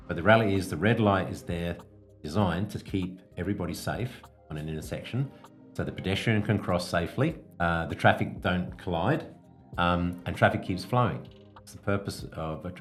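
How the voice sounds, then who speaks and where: Low-voiced man coaching on a podcast. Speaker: podcast host, business coach. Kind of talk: explanatory coaching talk on a podcast.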